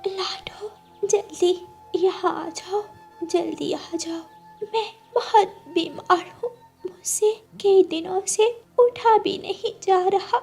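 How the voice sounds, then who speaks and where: A frail mother bird in a children's cartoon played by a woman speaks in a very high, weak voice. She is tender and pleading, drawing her words out slowly over clear background music. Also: warm tone, exaggerated voice. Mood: weak, urgent. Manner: weak, slow, pleading delivery. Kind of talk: Hindi cartoon character acting.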